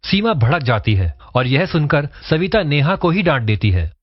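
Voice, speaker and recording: A man's voice: a little high, very animated, normal speed, slightly rough, highs cut off. A man reading scripted storytelling narration for a cartoon moral tale.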